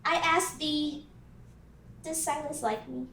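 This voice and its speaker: A woman voicing an energetic, indecisive fourteen-year-old witch at an animation table read, earnest and dramatic. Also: high voice, slow pace, gravelly, full character voice. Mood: solemn, pleading. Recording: roomy, distant mic, background noise.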